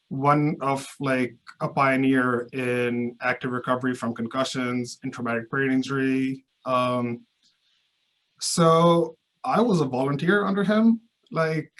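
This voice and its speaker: A man's voice: medium pitch, a bit bright, rough recording. A man from Pakistan, a research scientist, answering interview questions in a hesitant way with many uhs and restarts.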